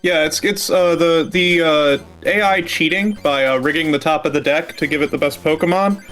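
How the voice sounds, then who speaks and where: A man's voice: a little high, moderate pace, a bit bright, faint music behind. A man who races speedruns, chatting casually during a live gaming race. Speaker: speedrun racer.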